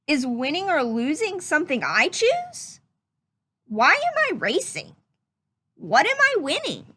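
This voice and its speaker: A woman's voice: high voice, fairly bright tone, heavily acted. A woman voicing a curious little boy in a storybook, asking earnest questions with questioning, rising phrasing. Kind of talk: storybook read-aloud.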